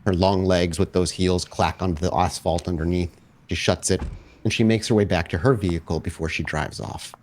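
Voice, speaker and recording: Guy with a lower voice running a tabletop rpg, narrating scenes. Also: fairly even intonation, moderate pace, darkish tone. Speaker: storyteller, game master. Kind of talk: narrating a tabletop roleplaying game.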